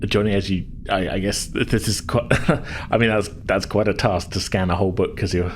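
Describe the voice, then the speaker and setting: A man's voice: low voice, fairly even intonation, normal speed, slight background noise. A man speaking as game master, improvising tabletop narration and chatting casually in a hesitant way.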